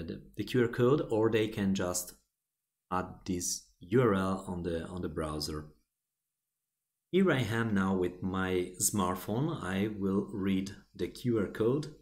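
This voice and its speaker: A man whose first language is Italian, walking through a software feature step by step in a plain explaining manner with frequent restarts. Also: sits low. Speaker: software tutorial presenter. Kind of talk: screen-recorded software walkthrough.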